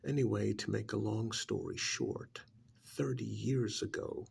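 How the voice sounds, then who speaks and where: A man voicing an ancient alien creature who scorns humans, in a gravelly, breathy ASMR roleplay monologue that often sinks into whispers, slow and brooding. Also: on the low side, steady tone, darkish tone. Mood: weary, annoyed. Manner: slow, deliberate, brooding, with sighs and pauses.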